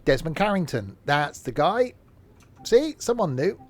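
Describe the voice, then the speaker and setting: A man's voice: a little high, sing-song, measured pace. A man, chatting casually and rambling with fillers while live-streaming a game.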